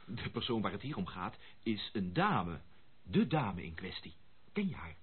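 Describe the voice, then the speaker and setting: Through a thin, phone-like old radio recording comes an eager young gentleman bachelor. His delivery is theatrical and very lively, swinging widely in pitch. The voice is slightly husky and the phrasing genteel and exclamatory. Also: measured pace, full character voice. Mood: anxious, impatient. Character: eager young gentleman bachelor, excitable, amused, curious about his bride. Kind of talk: Dutch radio play acting.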